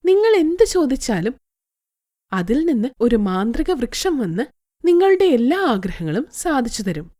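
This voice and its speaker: A woman as a kindly goddess, high voice, melodic swings, solemn giving manner, moral fairy tale. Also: big pitch swings, normal speed, dark tone, no room sound, studio quality. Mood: benevolent. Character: benevolent goddess granting boons to devotees.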